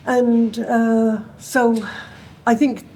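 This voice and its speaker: A woman's voice: on the high side, flat delivery, darkish tone, slight background noise, poor audio. Thoughtful woman panelist. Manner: thoughtful, with many pauses and fillers. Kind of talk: panel discussion.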